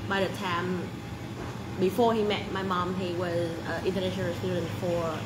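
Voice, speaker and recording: A woman's voice: on the low side, little pitch movement. Young woman from Vietnam, job interview answers, hesitant, echoey, noisy background. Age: 20s. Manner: halting, many fillers and restarts. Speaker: job applicant. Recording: echoey room, loud background noise.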